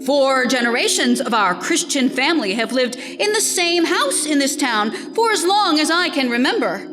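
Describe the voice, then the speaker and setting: Proud, blustering small-town alderman female actor, theatrical comedy, high register, reverberant space. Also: big pitch swings, normal speed. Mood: boastful. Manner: blustering, muddled with learned words. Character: proud, plain-spoken, dim-witted village alderman. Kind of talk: theatrical reading of a comic period play. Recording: reverberant hall, music behind.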